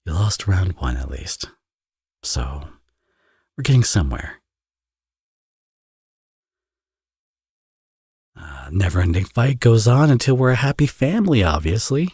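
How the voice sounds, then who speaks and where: A man's voice: low voice, big pitch swings, moderate pace, darkish tone, slightly rough, dry recording, studio quality, exaggerated voice. A man playing a teasing, flirtatious villain and doting father in an intimate romance audio roleplay. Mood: smug teasing. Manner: playful, smug, sarcastic teasing.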